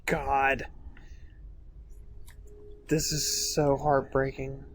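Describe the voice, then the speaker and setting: A man's voice: medium pitch, completely flat delivery, very slow, a little hiss or hum. Man chatting casually in film commentary. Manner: casual, slangy, questioning. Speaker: movie commentary co-host. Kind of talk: casual film reaction chat.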